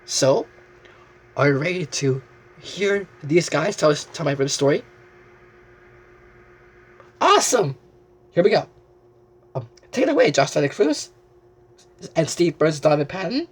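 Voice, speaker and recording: A man presents a story show to his viewers with lots of enthusiasm. His voice is high and husky and swings up and down a great deal. He halts and repeats phrases as he goes. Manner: excitable, halting with repeated phrases.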